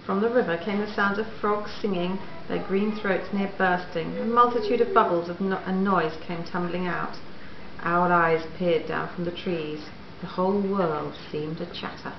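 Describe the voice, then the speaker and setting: Echoey room, slightly muffled, woman, lowish voice, children's storybook narration. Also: steady tone, normal speed, creaky, noisy background. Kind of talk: storybook read-aloud.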